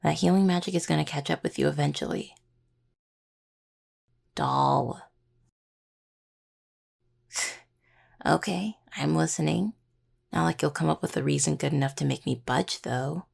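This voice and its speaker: Husky, gravelly young necromancer woman, acted. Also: low voice, darkish tone, heavily acted. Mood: fond, teasing. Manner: halting, casual, teasing. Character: affectionate, playfully stubborn young necromancer healer, a devoted partner. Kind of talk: intimate roleplay audio, one-sided dialogue to listener.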